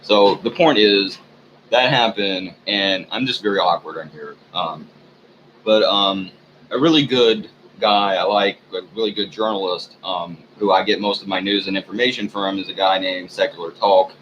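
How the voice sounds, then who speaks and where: A man talking alone at home in a meandering personal vlog, full of ums and trailing thoughts, in a sleepy, low-key way. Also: normal speed, a bit bright. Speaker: vlogger. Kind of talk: rambling personal monologue. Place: room at home. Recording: muffled, noisy home recording.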